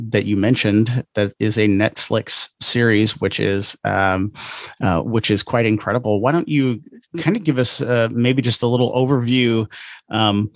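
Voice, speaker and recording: Guy hosting a podcast, lowish voice, no room echo, casual and agreeable. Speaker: podcast host.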